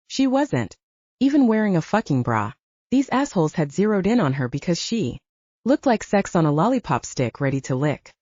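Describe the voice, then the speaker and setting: A woman's voice: fairly low pitch, darkish tone, no echo. A woman narrating a paranormal romance audiobook as scripted storytelling, in a slightly dull, dry recording.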